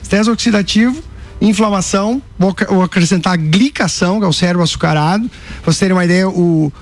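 A man's voice: high voice, quick pace. A middle-aged man with a southern Brazilian gaúcho accent, explaining things conversationally as an expert interview guest. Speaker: guest neurologist and author.

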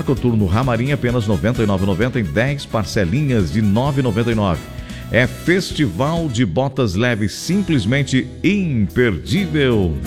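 A man with a southern Brazilian accent hosting live radio in a chatty, effusive way, often repeating his phrases. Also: lowish voice. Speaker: radio host. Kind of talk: live radio chat, shout-outs and ad reads. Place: radio studio. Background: music under the voice.

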